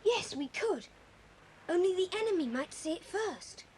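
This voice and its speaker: A woman's voice: very high-pitched, big pitch swings, measured pace, darkish tone, heavily acted. A woman playing a practical, bossy but caring child adventurer in a children's audio drama. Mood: practical, reassuring. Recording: slightly hissy 1980s cassette tape.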